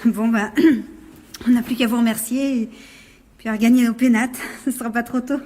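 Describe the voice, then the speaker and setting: Actress doing young woman in a radio play, slangy n teasing, highish voice, kinda impatient. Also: steady tone, moderate pace, a little mellow, natural voice. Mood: weary politeness. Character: brisk, mocking young woman, impatient with her anxious flatmate. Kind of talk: radio drama acting.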